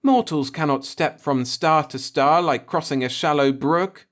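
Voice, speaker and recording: A man's voice: a little high, measured pace, fairly bright tone, pitched above the performer's own voice. A man voicing a proud, embittered old alien man who speaks with formal solemnity in a science fiction audiobook. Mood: solemn, bitter. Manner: formal, solemn, grieving speech.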